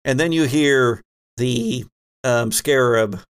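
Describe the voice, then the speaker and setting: A man with a mid-range voice runs a tabletop game as game master. He improvises the narration in a halting way, stopping mid-sentence as he searches for the next word. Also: medium pitch, smooth. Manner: halting, pauses mid-sentence to find words.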